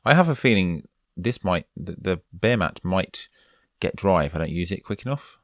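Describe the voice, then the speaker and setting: A man's voice: deep voice, animated, moderate pace, no room sound, studio quality. A man from the UK commenting casually over a game, chatty and urging his character on, while losing his voice. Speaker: let's play host.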